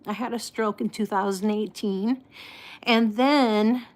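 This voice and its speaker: Slow-talking American woman with slightly impeded speech. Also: mid-range voice, steady tone, a little mellow. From United States. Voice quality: slightly impeded articulation from a false tooth and past stroke. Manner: halting, unhurried, greeting viewers by name. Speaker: live stream host. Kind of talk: casual live chat with viewers.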